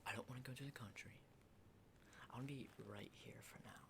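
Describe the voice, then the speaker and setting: A man playing a jittery, hardened zombie apocalypse survivor in a whispered ASMR roleplay, halting and repeating himself insistently in a breathy voice. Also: mid-range voice, fairly even intonation, natural voice. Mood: wary, explaining. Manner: halting, repetitive, insistent, often checking with "okay?". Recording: clearly noisy close whisper recording.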